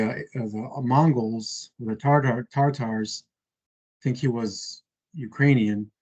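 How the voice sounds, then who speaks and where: A man's voice: mid-range voice, a little mellow. Man, casual chat, hesitant, lots of ums, muffled lo-fi audio. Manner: hesitant, thinking aloud with many fillers. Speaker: conversation partner. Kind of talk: casual video call chat.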